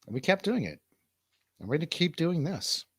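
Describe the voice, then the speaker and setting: A man's voice: a little high, big pitch swings, fairly quick, fairly bright tone, breathy edge, no echo. Middle-aged man hosting, dry close sound. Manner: informal, swearing. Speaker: show host. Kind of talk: casual live stream chat.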